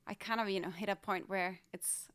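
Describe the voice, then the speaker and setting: A woman's voice: medium pitch, steady tone, a little fast, a bit bright, clear, resonant tone, dry recording, clean studio sound. A middle-aged woman from Denmark talking candidly and reflectively as a guest in a casual interview. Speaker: interview guest, fashion designer.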